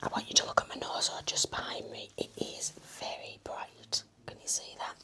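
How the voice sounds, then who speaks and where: A woman whispering gently and reassuringly in an ASMR roleplay as a nurse, close to the microphone. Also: moderate pace.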